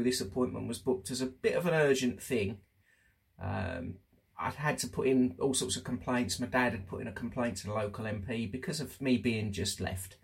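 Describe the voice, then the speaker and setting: A man talking candidly and casually as host of a personal diary show, chatty but halting, pausing for emphasis. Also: mid-range voice, gravelly, husky. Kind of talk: casual spoken diary update.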